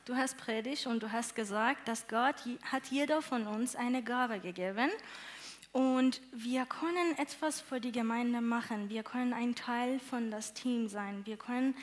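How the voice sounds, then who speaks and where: A young woman from Iran with a Persian accent, a native Persian speaker, giving an earnest, halting testimony on a church stage with many fillers. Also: on the high side. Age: young adult. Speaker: church moderator and interpreter.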